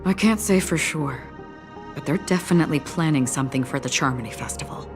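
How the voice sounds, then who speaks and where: A woman's voice: low-pitched, fairly quick, close to an everyday voice. A woman voicing an enigmatic, solemn game heroine who talks in a grave, understated way. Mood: solemn, resolute. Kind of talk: video game voice acting. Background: game soundtrack music.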